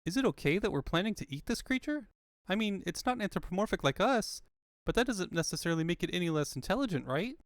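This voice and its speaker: An earnest, anxious cartoon boy fox sidekick, voiced by a man, slightly high in pitch. He muses and questions in a comic fandub, recorded dry. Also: very animated, quick pace, no echo. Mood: musing. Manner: questioning, musing.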